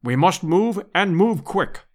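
A man voicing a bold, breezy gentleman adventurer in an audiobook, speaking in clipped upper-class slang. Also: high voice, lively intonation, slow pace. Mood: brisk, urgent. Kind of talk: dramatised audiobook character reading.

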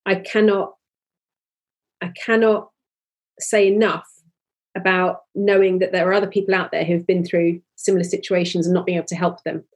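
A woman's voice: on the low side, level tone, normal speed, long pauses, breathy edge. A woman in her forties, a podcast guest, talking earnestly and openly with many restarts. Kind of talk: candid conversational interview.

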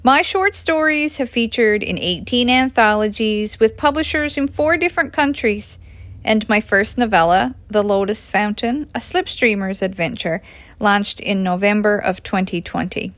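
A woman's voice: mid-range voice, moderate pace, a bit bright, no echo. A woman from Canada, reading scripted story narration aloud. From Newfoundland, Canada. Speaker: author reading own chapter aloud.

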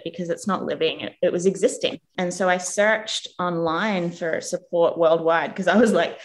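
Earnest woman with a mixed Australian-New Zealand accent. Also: fairly low pitch. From England, raised in Australia. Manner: earnest and animated, acts out quoted people. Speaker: guest. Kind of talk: personal story in a podcast interview.

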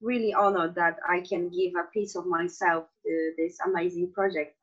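A woman in her thirties from Poland, speaking English with a Polish accent. She is a podcast guest telling a personal story earnestly, pausing and restarting often. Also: on the low side, fairly even intonation, slightly rough, highs cut off. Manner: earnest, with frequent pauses and restarts.

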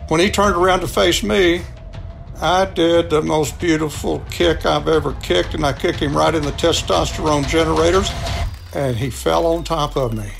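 A man's voice: a little high, steady tone, normal speed, creaky, fairly bright tone, faint music behind. An older man with a Texan accent telling a personal story in a folksy way, acting out the dialogue as he goes. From Texas, United States. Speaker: storyteller, radio show contributor.

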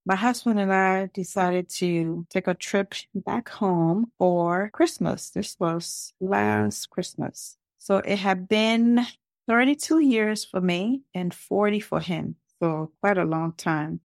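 A woman's voice: medium pitch, takes it slowly, creaky, no room sound, clean studio sound. A middle-aged woman from Liberia, telling stories conversationally as a podcast guest. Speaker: podcast guest, home cake business owner. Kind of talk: conversational interview storytelling.

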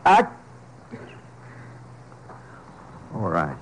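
An actor playing a bitter, hot-tempered ex-convict, gruff and curt, in 1950s radio drama style. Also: male voice, normal speed, a little hiss or hum, lightly acted, poor audio. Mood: resentful, reluctant.

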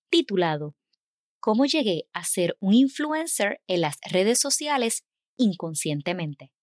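A woman's voice: mid-range voice, darkish tone, no echo, clean studio sound. A woman hosting an interview show, introducing her guest and asking conversational questions in Spanish. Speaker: interview host, doctor of educational leadership.

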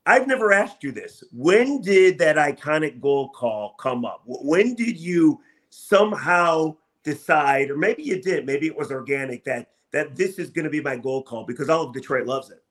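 A man's voice: on the high side, animated, moderate pace, a bit bright. An American man hosting a sports talk radio interview, asking questions in an emphatic, effusive, stop-start way.

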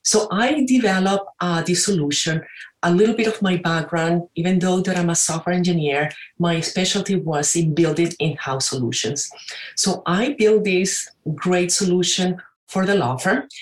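Lady guest, low voice, chatty w lots of "right?", echoey room. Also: normal speed. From Venezuela. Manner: chatty, many fillers and "right?" tags. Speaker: guest entrepreneur. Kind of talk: interview storytelling.

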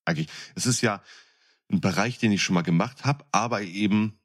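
A man's voice: lowish voice, brisk. A man speaking colloquial speech in a blunt, coarse, emphatic way, arguing his point in a casual podcast chat. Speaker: podcast co-host, experienced window fitter. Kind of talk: casual chat, opinionated argument.

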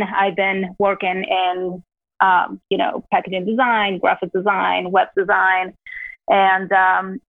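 A woman's voice: medium pitch, gravelly, no echo. Woman with a Peruvian accent, phone-like line. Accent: Peruvian Spanish. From Peru. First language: Spanish. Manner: chatty with frequent fillers and laughs. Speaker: guest, company founder. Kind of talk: casual interview. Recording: dry tinny phone-like line.

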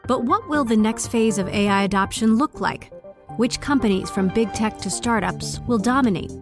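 A woman's voice: fairly high pitch, normal speed. Polished woman over a music bed. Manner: polished, announcer-like delivery. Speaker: event ad presenter. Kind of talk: scripted promo read.

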